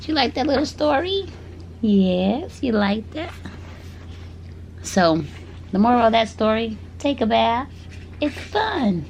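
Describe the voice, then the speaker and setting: Slow-paced mother reading a children's story. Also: on the high side, gravelly, a little hiss or hum. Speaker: mother reading bedtime stories to her baby. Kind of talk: read-aloud children's storytelling, with asides to a baby.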